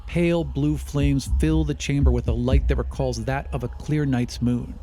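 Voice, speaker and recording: A man's voice: mid-range voice, fairly even intonation, moderate pace, warm tone, a little hiss or hum. A man working as game master, narrating a fantasy tabletop adventure in a descriptive, scripted style.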